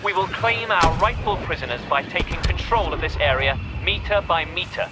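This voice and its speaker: A stern, menacing game villain commander with a slightly high-set male voice. He declaims orders formally and with zeal, over loud dramatic music. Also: lively intonation, normal speed. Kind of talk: dramatic video game briefing.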